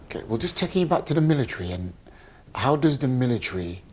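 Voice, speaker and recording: A man hosting a local television interview, asking questions and giving quick encouraging affirmations. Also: medium pitch, very animated, husky.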